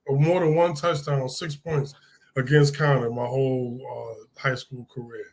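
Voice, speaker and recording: A man in his sixties from Hartford, Connecticut, telling stories as an interview guest in a relaxed, rambling way with many restarts. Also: medium pitch, measured pace, rough recording. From Hartford, Connecticut, USA. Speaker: guest, former football player. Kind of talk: casual interview storytelling.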